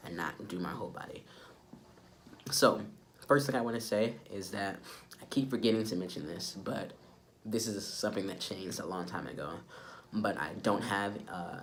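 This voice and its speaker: Low, stuffy-nosed young man vlogging. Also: a little hiss or hum. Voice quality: congested, stuffy nasal sound. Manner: low-key, low energy. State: sick with a stuffy nose. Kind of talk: casual update vlog talk to camera.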